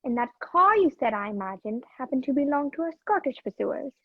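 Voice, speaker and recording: A feisty young radio-play heroine with a high voice, acted by a woman. She is sharp-tongued but willing to help. The recording is close, dry and a little muffled. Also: very animated, fairly quick, dry recording, heavily acted. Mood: hesitant, confiding. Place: video call, dry room. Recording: muffled video-call audio.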